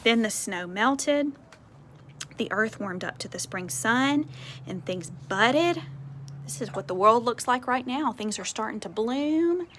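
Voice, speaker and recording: A woman with a fairly high voice reads a children's story expressively. She breaks off for conversational asides to explain things to young listeners. Faint outdoor sound sits behind her, as on a porch. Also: animated, slow pace, a bit bright. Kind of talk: expressive picture-book read-aloud with asides to children. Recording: faint outdoor ambient noise.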